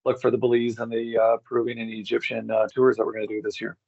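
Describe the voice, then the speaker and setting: A man chatting casually as an interview guest, halting and informal, often repeating words for emphasis. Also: medium pitch, level tone, vocal fry, darkish tone, dry recording, dull audio. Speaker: interview guest, tour organizer. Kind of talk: casual rambling conversation.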